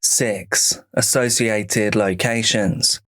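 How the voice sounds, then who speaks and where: Slow, low-voiced man narrating a horror case file. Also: fairly even intonation, a bit bright, a little hiss or hum.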